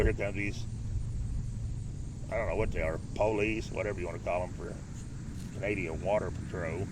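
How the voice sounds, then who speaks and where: A man in his fifties from Ohio with a Southern accent picked up in Tennessee, rambling through old fishing stories in a folksy, loose way while he fishes outdoors. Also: sits low. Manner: folksy, drawn-out reminiscing with loose grammar. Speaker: fishing vlogger. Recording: distant mic, clear outdoor noise.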